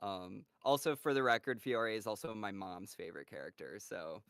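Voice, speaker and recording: A man's voice: fairly low pitch, normal speed, a bit bright, clear, resonant tone, no room sound. Man, host, casual livestream Q&A, laughs a lot, encouraging, dry close sound. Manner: laughs often, upbeat and encouraging. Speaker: host of a cast Q&A.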